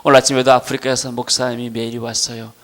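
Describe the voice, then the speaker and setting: A middle-aged man with a Jeolla accent from Gwangju preaches a sermon with personal testimony, swinging between emphatic declarations and quieter storytelling. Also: mid-range voice, little pitch movement, fast. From Gwangju region, South Korea. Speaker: pastor preaching.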